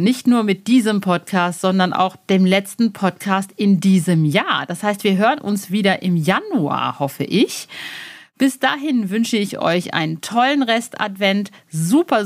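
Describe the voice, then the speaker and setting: Effusive, informal woman podcast host. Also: mid-range voice. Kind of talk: casual chat, podcast presenting.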